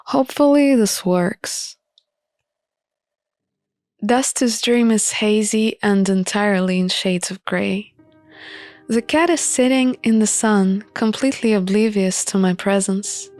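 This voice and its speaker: A woman narrates a visual novel in the first person. Her pace is slow and deliberate, at a medium pitch, and the sound is close and dry. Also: a little mellow, dry recording, faint music behind.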